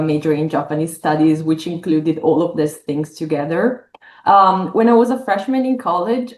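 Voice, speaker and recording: Scholar guest: Italian-accented woman in her thirties, low-pitched, reflective, recorded in a reverberant room. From northern Italy. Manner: thoughtful, many fillers, warm laughs. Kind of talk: academic interview.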